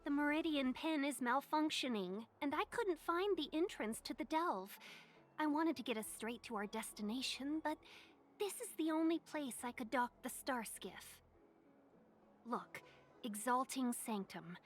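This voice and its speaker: A woman voicing a mild, easygoing young female aide in a video game, with a casual, placating, expressive manner. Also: high-pitched, a little slow, a little hiss or hum.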